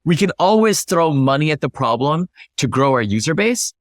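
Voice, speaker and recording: A man with a somewhat high-pitched voice speaks as a guest in an interview. He explains in a structured way, leaning on his key points. The sound is dry, with very little room to it. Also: big pitch swings, moderate pace, dry recording. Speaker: interview guest, product leader.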